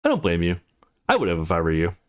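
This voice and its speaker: A man's voice: low voice, wildly swooping pitch, fast talker, clear, resonant tone, voice pushed down. A man playing a laid-back, wry young man, the protagonist of a visual novel game. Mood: calm.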